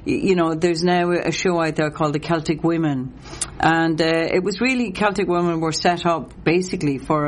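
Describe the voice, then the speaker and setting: A middle-aged woman from Donegal with a Donegal Irish accent, a native Gaelic speaker, talking as a singer in a relaxed interview, hesitant and reflective, restarting her sentences often. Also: sits low. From Donegal, Ireland. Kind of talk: casual interview chat.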